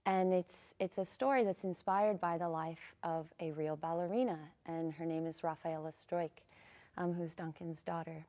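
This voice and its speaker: A woman's voice: on the low side, fairly even intonation, normal speed, warm tone. A woman guest on a TV morning show, speaking earnestly with fillers about a ballet. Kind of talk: TV interview.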